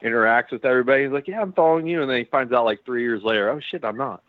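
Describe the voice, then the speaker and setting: A man's voice: medium pitch, rapid-fire. A man co-hosting a podcast, teasing the others and swearing freely in casual chat. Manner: teasing, profane, fond of "like". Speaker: podcast co-host. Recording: dry, phone-like line.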